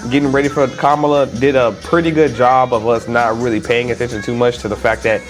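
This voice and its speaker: A man with a stuffy nose, talking casually to camera as a vlogger in a relaxed, chatty, informal way. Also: mid-range voice, brisk, soft background music.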